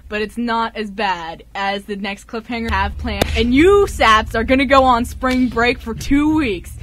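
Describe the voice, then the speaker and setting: A young woman hosting a radio show, chatting casually and excitably with friends in a small studio. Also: a little high. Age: young adult. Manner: excitable, giggly, animated. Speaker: radio show host and scriptwriter.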